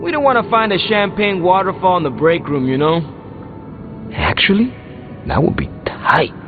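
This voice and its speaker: An actor playing a casual, guarded, streetwise young mechanic hero in a video game, with a slangy, offhand manner. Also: male voice, high voice, big pitch swings, moderate pace, phone-quality sound, music in the background. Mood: offhand, skeptical. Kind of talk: video game dialogue acting.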